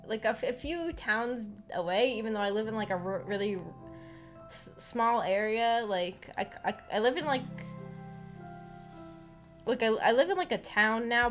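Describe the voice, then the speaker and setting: An American woman streamer chatting casually in a rambling, halting, giggly way. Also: fairly high pitch. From United States. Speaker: streamer, visual novel book club host. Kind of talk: casual livestream chat. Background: background music.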